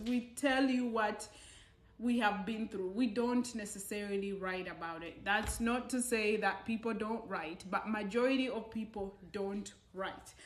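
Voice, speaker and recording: A young woman speaks to camera with a slightly high voice, earnest and emphatic, throwing out rhetorical questions to push her points. The room is echoey around her. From Uganda. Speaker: vlogger. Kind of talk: casual talk to camera.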